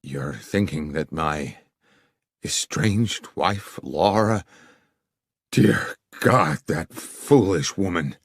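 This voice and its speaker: A man voicing a wealthy scheming businessman in an audiobook, with a halting, theatrical manner full of wounded dignity. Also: a bass voice, animated, slightly rough, no echo, clean studio sound, pitched below the performer's own voice, full character voice. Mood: anguished. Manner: halting, theatrical, self-pitying or indignant.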